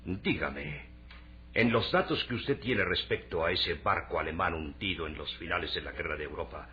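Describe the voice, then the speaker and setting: A man's voice: low voice, normal speed, a little hiss or hum, natural voice. A man playing a shrewd middle-aged shipping magnate in a Latin American Spanish radio drama, theatrical and probing. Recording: dull, lo-fi radio drama recording.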